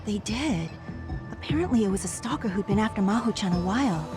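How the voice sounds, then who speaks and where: An actress playing a gentle woman who recalls an old friend's past in a conversational, wistful way for a video game cutscene. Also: medium pitch, animated, a little fast, natural voice. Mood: somber, sympathetic. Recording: studio voice with music behind.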